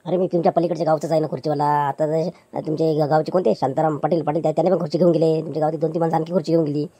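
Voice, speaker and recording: A woman's voice: low-pitched, flat delivery, rapid-fire, mellow, husky, no echo. A woman voicing a poor, meek male chair seller who pleads and placates with stammering restarts. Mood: placating.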